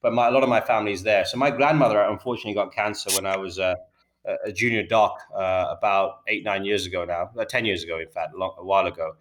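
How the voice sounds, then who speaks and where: A British man in his thirties answering interview questions about his company, talking quickly and often repeating words as he restarts. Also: deep voice, steady tone, a bit bright. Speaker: healthcare data company founder.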